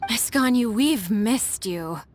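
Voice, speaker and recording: A fawning young female office worker from a video game. Her high voice gushes with deference, over faint ambient noise. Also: expressive, takes it slowly. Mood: adoring. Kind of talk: English video game voice acting.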